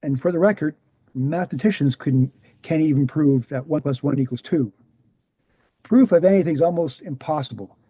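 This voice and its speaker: A man's voice: a little high, normal speed, warm tone, breathy edge, dry recording. A man reading the part of a confident, didactic explainer in scripted dialogue. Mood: assured. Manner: didactic, assured. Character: Gary, confident explanatory man debunking assassination myths. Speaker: actor in a screenplay table read.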